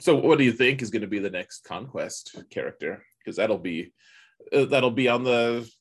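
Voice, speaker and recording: A man co-hosting a gaming podcast, chatting casually and colloquially with a husky voice and strong opinions. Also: medium pitch, expressive, normal speed. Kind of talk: casual chat about a mobile game.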